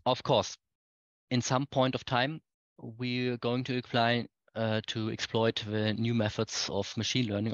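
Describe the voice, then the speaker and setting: A man with a fairly low voice delivers a technical keynote over a video call. He explains step by step in hesitant, halting phrases. The sound is close and dry but somewhat muffled. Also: fairly bright tone, no echo. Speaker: conference speaker. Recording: dull, muffled lo-fi online-call audio.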